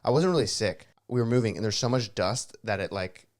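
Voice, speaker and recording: A young man co-hosting a podcast, joking and teasing in casual chat, with a slightly raspy throat. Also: fairly low pitch, lively intonation, brisk, darkish tone. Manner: joking, playful, self-deprecating. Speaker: podcast co-host.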